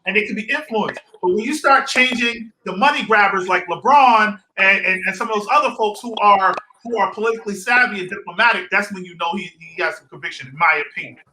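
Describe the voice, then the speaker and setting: An emphatic man from Barbados making his case in a casual chat, often stammering over his words. His voice is high and bright. The room around him is echoey, with faint background noise. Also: brisk, hardly any pauses. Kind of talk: casual debate.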